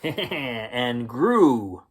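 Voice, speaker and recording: A man reading a children's picture book aloud as a storyteller, expressive and unhurried, with gentle asides to the listeners. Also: medium pitch, slight background noise. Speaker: bedtime story reader and host.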